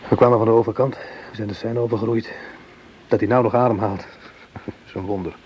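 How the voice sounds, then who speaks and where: An actor playing a weary, protective soldier corporal in a Dutch radio play, speaking in earnest, halting, soothing phrases. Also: male voice, lowish voice, steady tone, darkish tone, close to an everyday voice. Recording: noisy old radio play recording.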